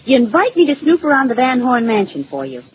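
A woman's voice: fairly high pitch, big pitch swings, fast. An actress playing a detective's sardonic, long-suffering girlfriend in a 1940s radio drama, dry and teasing. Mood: sarcastic, put out. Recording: muffled, noisy old radio recording.

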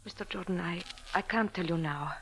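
A woman's voice: fairly low pitch, brisk, a bit bright. A woman playing a proud, anxious cafe dancer with a formal, pleading manner in a 1940s radio drama. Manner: formal, pleading, haughty when crossed. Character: proud, anxious young female cafe dancer seeking help. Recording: noisy old radio recording with clicks.

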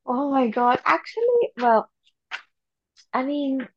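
A woman's voice: sits high, very animated, takes it slowly, no echo, lo-fi sound. A woman in her thirties from Venezuela, co-hosting a podcast in casual chat, talking freely with many fillers and restarts. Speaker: podcast co-host.

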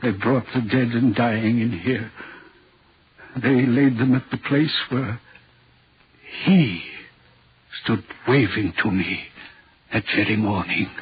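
A man's voice: medium pitch, slow, pauses often, husky. An actor playing a haunted, anguished railway signalman in a brooding, dramatic 1940s radio horror play style. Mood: grim. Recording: muffled 1940s radio recording.

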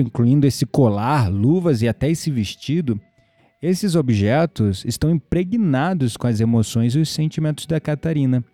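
A male actor as a patient spiritual believer with a Brazilian accent in an audio drama. His voice is mellow and mid-pitched, explanatory and gently teasing, over faint music. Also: no echo, lightly acted. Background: music behind the voice.

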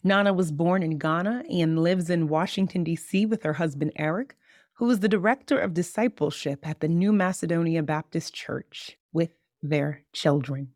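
Woman podcast host, lowish voice, dry close sound, gushing and chatty. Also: normal speed, no room sound. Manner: warm, effusive, says y'all a lot. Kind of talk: casual podcast chat.

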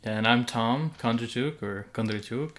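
Relaxed young male co-host, hedges with "you know", casual podcast chat. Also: mid-range voice, a little slow. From United States. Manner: easygoing, hedging with "you know" and "I guess". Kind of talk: casual religious podcast talk.

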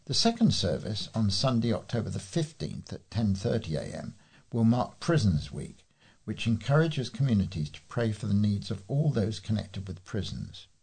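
Male volunteer newsreader, lowish husky voice, informal delivery with the odd stumble. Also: very animated, normal speed, a little mellow. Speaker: volunteer local news reader. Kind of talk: reading news articles aloud.

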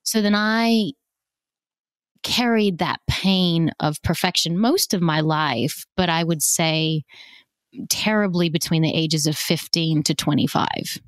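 A woman, a podcast guest speaking candidly and emotionally, often halting as she reflects. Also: low voice, no room sound. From born in South Africa, raised in Australia. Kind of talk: candid personal conversation.

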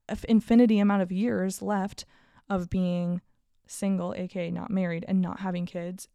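A woman's voice: mid-range voice, gravelly, warm tone, no room sound, studio quality. A woman in her late twenties hosting a casual solo podcast, talking candidly and upbeat about her year. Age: 20s. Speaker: podcast host.

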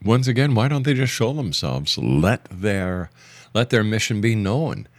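A male radio host with a fairly low voice and highly varied intonation puts probing questions to a guest. He presses his point, repeating questions. The sound is dry, without room echo. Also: expressive, a little slow.

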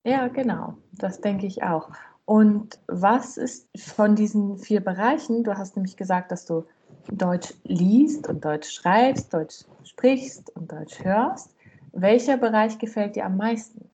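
A woman's voice: medium pitch, takes it slowly, dark tone, a little hiss or hum, rough recording. A woman interviewer putting questions and short responses to her guest in a conversational way.

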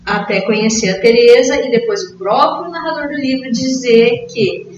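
A woman's voice: a little high, normal speed, darkish tone. Echoey, distant Brazilian woman explaining books. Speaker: literature expert guest. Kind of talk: casual book discussion. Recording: echoey, distant, dull, noisy room recording.

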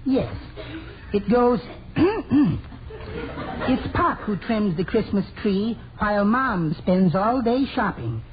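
An actor playing a meek, henpecked little husband with a mild, hesitant, prissy delivery in an old radio comedy. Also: male voice, high-pitched, very animated, slow, lo-fi sound, crowd noise, heavily acted. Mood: mild, pleased. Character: meek, henpecked little married man who writes poems. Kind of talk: 1940s radio comedy acting. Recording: noisy old radio recording, loud studio audience.

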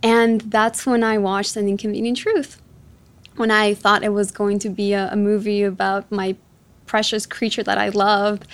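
A woman in her twenties from Ecuador who grew up in Chicago, telling personal stories as an interview guest. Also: medium pitch. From born in Ecuador, raised in Chicago from age seven. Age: 20s. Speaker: interview guest, ocean activist.

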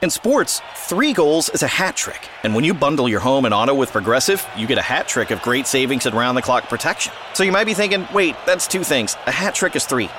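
A man reading a comic ad in a matter-of-fact, deadpan way. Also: medium pitch, a little fast, few pauses, a little hiss or hum.

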